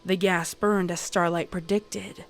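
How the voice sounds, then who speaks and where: A woman's voice: fairly low pitch, steady tone, measured pace. A woman reading a dramatic scripted story as its narrator. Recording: music behind the voice.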